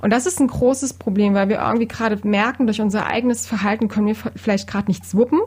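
A woman with a slightly husky voice, talking as a psychologist and explaining things conversationally on a podcast. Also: medium pitch, steady tone, quick pace, a little mellow.

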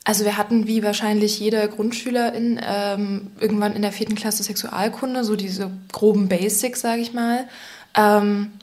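A woman in her twenties from near Leipzig, telling her story as a podcast guest in a relaxed, hesitant way with frequent fillers. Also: mid-range voice, normal speed. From eastern Germany, near Leipzig. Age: 20s. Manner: relaxed, searching for words with frequent "ähm" fillers. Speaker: interview guest. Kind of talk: casual personal conversation.

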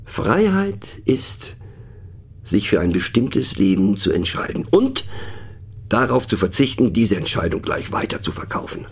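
An actor playing a musing, mocking train passenger in a radio play, talking ponderously with hushed asides and a creaky voice. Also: male voice, fairly low pitch, big pitch swings, measured pace, full character voice. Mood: musing, wry.

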